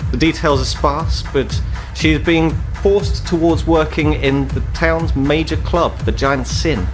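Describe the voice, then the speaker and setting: Man game master narrating over faint music. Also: on the high side. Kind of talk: descriptive tabletop game narration.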